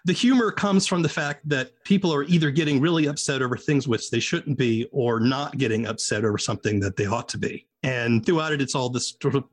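A man's voice: mid-range voice, fairly quick, few pauses. An American man hosting a casual interview, asking questions in a conversational style. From United States. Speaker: interview host.

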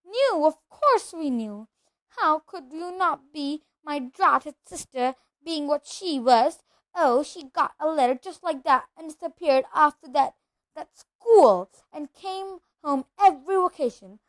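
A woman's voice: high voice, lively intonation, normal speed, a bit bright. A woman playing a resentful middle-aged aunt who rants emphatically in a storybook reading. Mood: shrill resentment. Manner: ranting, emphatic.

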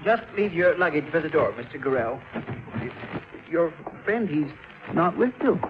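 A fussy, anxious, mild-mannered fellow in an old radio drama. His hesitant, stammering speech swings widely in pitch, on a muffled, noisy recording. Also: very animated, normal speed. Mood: fussy, eager. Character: fussy, mild, anxious male car owner. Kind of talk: 1950s radio acting.

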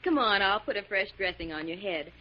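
A dull, slightly noisy 1940s radio recording. An actress plays a caring, inquisitive young woman with a medium-pitched voice, in period drama style. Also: expressive, a little fast, lightly acted. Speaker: actor.

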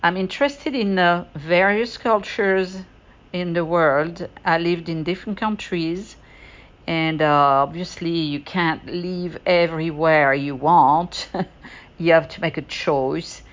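Low-voiced, slow-speaking woman podcaster. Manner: hesitant, halting delivery with pauses. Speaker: podcast host. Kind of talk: unscripted personal monologue. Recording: dull, lo-fi audio.

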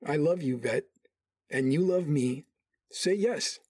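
Husky-voiced man as proud warrior in love. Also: fairly high pitch, slow, a little mellow, dry recording. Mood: ardent, insistent. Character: proud, ardent male warrior in love, narrating. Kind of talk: first-person audio drama narration and acting.

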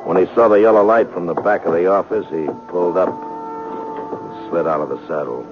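A man's voice: deep voice, huge pitch swings, moderate pace, music in the background. An American man playing a grave storyteller, narrating a scripted 1950s radio western. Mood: grave, suspenseful. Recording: muffled 1950s radio recording.

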